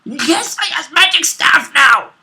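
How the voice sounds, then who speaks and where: Guy doing an eager greedy mage from a tabletop game, high and bright, loud. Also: lively intonation, unhurried, gravelly, heavily acted. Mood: gleeful. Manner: eager, possessive. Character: excitable male mage gloating over a magic staff.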